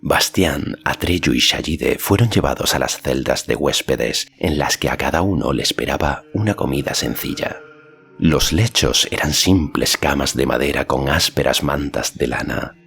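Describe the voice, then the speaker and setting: A man's voice: a bass voice, a little slow, creaky, a bit bright. A man reading a fantasy story as an audiobook narrator, in a scripted narration style. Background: faint music.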